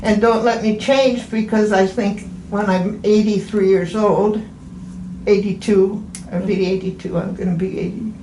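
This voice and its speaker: An elderly woman in her eighties talks casually in an echoey room with faint noise behind her. She rambles in a halting way, restarting and repeating phrases as a patient explaining herself. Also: mid-range voice, fairly even intonation, mellow. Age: 80s. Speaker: podiatry patient. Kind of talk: casual chat during an appointment.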